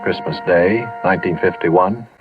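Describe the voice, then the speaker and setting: Guy acting as weary american war vet in an old radio play, slow reflective storyteller, muffled sound w loud music behind. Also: low-pitched, close to an everyday voice. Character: haunted weary war veteran soldier, hitchhiker and narrator. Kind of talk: vintage radio drama acting and narration.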